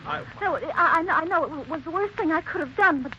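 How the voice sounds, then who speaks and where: Frightened young woman, 1940s radio, high voice. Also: fast, pushed and bright, slight background noise, full character voice. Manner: breathless, faltering. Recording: dull old radio recording.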